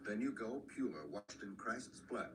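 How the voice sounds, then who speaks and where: An actor playing a solemn older scholarly man in a radio drama, instructing vampire hunters in a deliberate, didactic way. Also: lowish voice, lightly acted. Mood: solemn, instructive. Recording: distant, noisy replay of radio broadcast.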